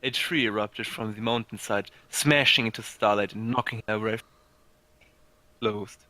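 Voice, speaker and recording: A man's voice: on the low side, steady tone, measured pace, sharp tone, no echo, poor audio. A man narrating a fan fiction story informally, stumbling over words as an amateur reader.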